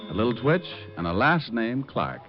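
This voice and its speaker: A man's voice: medium pitch, very animated, moderate pace, natural voice. Wry male private detective, played by an actor, sardonic, varied intonation, old radio crime show with music behind, muffled. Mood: narrating, wry. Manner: laid-back, sardonic. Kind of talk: 1940s-50s radio detective drama, dialogue and narration.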